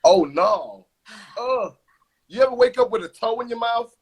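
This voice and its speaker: A man's voice: very high-pitched, animated, unhurried, slightly rough. A young man hosting a casual interview, chatty and laid-back, full of slang. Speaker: host.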